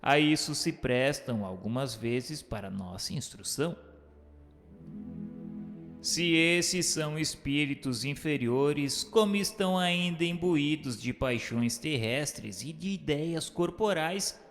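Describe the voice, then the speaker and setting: A man's voice: a little high, lively intonation, slow, fairly bright tone. A man reading scripted narration and posing formal questions as a narrator. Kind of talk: scripted narration of a spiritist text. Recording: long hall reverb, music underneath.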